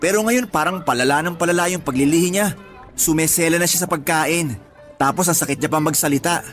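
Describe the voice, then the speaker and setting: A man's voice: fairly high pitch, a little fast, fairly bright tone, soft background music, lightly acted. An actor playing an exasperated man who complains about his pregnant partner in colloquial radio drama dialogue. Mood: exasperated, complaining.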